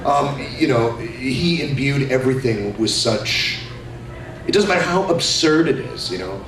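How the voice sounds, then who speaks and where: Animated man on a convention panel. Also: medium pitch, unhurried. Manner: animated, jokey, slips into character voices. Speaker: voice actor panelist. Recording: echoey hall, far from mic, noisy.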